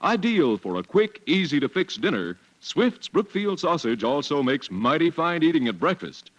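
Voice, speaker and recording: A man's voice: fairly high pitch, very animated, normal speed, dull audio. A man announcing in a scripted 1940s radio style, with folksy, polished salesmanship.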